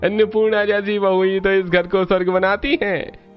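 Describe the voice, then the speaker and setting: A man playing a genial older priest and matchmaker in a cartoon, coaxing and flattering. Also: high-pitched, moderate pace, smooth, natural voice. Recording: muffled audio over music.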